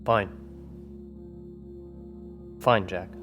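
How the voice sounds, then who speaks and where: A skeptical, challenging field agent, played by a man in a tabletop game. His voice is fairly low, blunt and pressing, with faint music underneath. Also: fairly even intonation, extremely slow. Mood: insistent.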